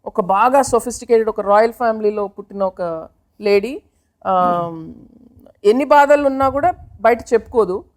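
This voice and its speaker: A woman's voice: fairly high pitch, moderate pace. A woman talking casually in an interview, slipping English phrases into her speech. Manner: code-switches into English phrases. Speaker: film team member, interviewee. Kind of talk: casual interview talk about a film.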